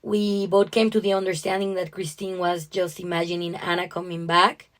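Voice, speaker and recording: A woman's voice: on the low side, flat delivery, normal speed. A woman co-hosting a writing podcast, discussing a story conversationally in an appreciative, explanatory way.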